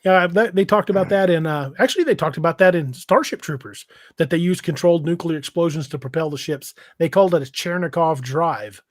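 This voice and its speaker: A man casually hosting a livestream, reading out comments and chatting in a halting, hesitant way. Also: fairly high pitch, no room sound, studio quality. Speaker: podcast host and novelist. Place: indoors on an internet livestream video call.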